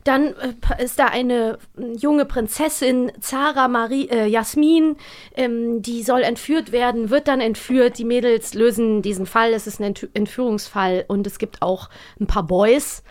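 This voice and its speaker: Frau, podcast-moderatorin, lockeres gespräch, offen kritisch, eher hohe stimme. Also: female voice, on the high side, measured pace. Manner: candid, critical, filler-heavy. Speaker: podcast host. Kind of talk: casual podcast review chat.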